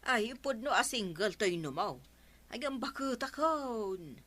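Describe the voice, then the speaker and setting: A woman's voice: a little high, lively intonation, a little slow, a bit bright. A woman playing a bickering, unashamed single village councilwoman in an Ilocano radio comedy drama. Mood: defensive.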